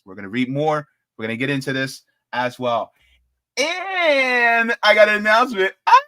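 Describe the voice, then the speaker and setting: A young man talks casually on a live show, rambling from one note to the next and getting excited now and then. His voice sits at an ordinary middle pitch. The sound is dry and close to the mic. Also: no echo. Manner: chatty and rambling, excitable bursts. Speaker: political commentary host. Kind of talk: casual live-stream sign-off chat.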